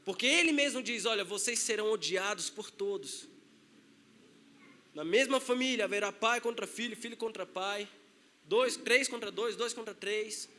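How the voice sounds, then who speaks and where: High, bright-voiced Brazilian preacher. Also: male voice, normal speed, a little hiss or hum. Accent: Brazilian Portuguese. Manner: conversational preaching with acted-out quotes. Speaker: pastor. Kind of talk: sermon.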